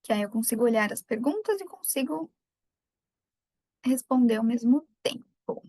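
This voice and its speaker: A woman's voice: fairly high pitch, animated, moderate pace, no room sound, clean studio sound. Chatty woman with a light Gaúcho accent. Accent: light Gaúcho Brazilian Portuguese. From Rio Grande do Sul, Brazil. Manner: chatty, warm greetings to viewers by name. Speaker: webinar speaker. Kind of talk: live webinar talk.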